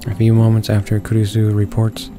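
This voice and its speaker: Low, flat-voiced man reading along. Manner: halting, stumbling over words. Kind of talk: reading visual novel text aloud. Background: game music.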